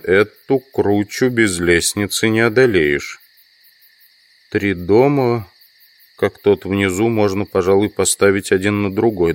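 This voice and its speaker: Casting: weary educated bug collector, fairly low, musing to himself, dryly recorded radio play. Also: male voice, no room sound. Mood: wary, observing. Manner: musing, halting, thinking aloud. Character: weary educated male insect collector, reflective, dissatisfied. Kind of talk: audio drama inner monologue.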